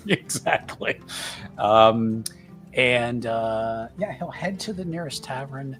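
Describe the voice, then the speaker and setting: A man's voice: mid-range voice, slow, a bit bright, soft background music. A man taking part as a player in a tabletop game, talking casually and hesitantly. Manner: hesitant, lots of fillers.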